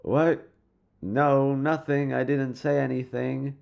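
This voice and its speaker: A man's voice: medium pitch, flat delivery, takes it slowly, vocal fry, highs cut off, voice pushed up. A man playing a kind, teasing, easily flustered young guy in a roleplay audio, talking casually and playfully straight to the listener. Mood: flustered, denying. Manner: playful, casual and slangy, with muttered asides.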